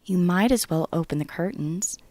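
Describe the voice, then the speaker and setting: A woman playing an eerily calm, sweetly teasing partner in a horror audio drama, sweet and coaxing. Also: lowish voice, normal speed, no echo, lightly acted.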